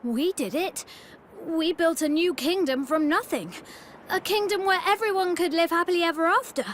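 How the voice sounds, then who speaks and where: A woman's voice: very high voice, moderate pace, fairly bright tone, slight background noise. A woman voicing a small, kind, hopeful boy king in a video game, with an earnest way of speaking.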